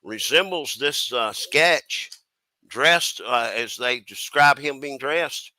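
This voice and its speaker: A man from the US East Coast chatting casually as a stream panelist, giving his opinions in a halting, folksy way. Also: medium pitch, sharp tone, no room sound. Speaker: panelist on a crime chat stream.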